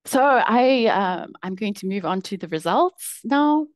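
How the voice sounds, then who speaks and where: A young woman presenting her research over slides on a video call, explaining in a measured way with occasional fillers and restarts. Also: medium pitch, lively intonation, dry recording, studio quality.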